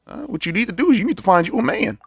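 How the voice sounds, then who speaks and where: A man's voice: high voice, expressive, very fast, vocal fry. An American man giving slangy, joking live commentary on a game, talking back to it casually. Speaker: game streamer. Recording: music under the voice.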